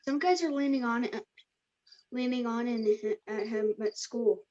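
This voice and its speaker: A slightly high voice of a woman plays an evasive young boy who likes to tinker. The delivery is a casual drama table read, on slightly muffled, lo-fi audio. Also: unhurried, a little mellow, lightly acted. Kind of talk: amateur table read acting.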